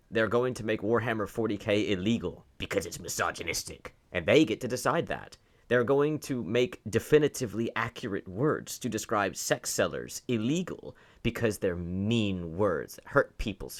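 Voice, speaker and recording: Low-pitched man arguing his opinions. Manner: argumentative, sarcastic, rhetorical questions. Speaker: online commentator. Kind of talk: opinionated video commentary.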